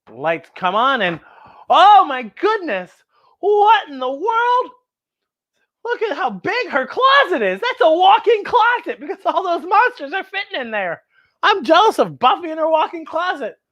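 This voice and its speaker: A woman's voice: very high voice, very animated, a little slow, fairly bright tone, dull audio. A woman hosting children's story time, reading a picture book aloud and exclaiming directly to young viewers.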